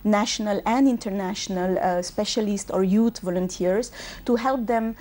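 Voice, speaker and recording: A woman's voice: medium pitch, moderate pace, a little mellow. A woman from Bangladesh who grew up in Belgium, a UN regional manager answering interview questions fluently, with frequent ums and uhs. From Bangladesh, raised in Belgium.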